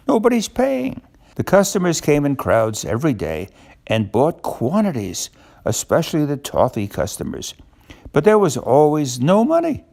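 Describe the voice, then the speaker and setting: An older man reading a children's storybook aloud, pausing to explain things simply to a young listener. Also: on the high side, gravelly, a little mellow.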